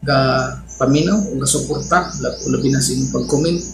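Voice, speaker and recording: A man's voice: mid-range voice, fairly even intonation, a little fast, vocal fry. A man with a Cebuano Filipino accent chatting informally and gratefully as host of a radio drama channel. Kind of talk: casual vlog intro reading listener comments. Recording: echoey room, background noise.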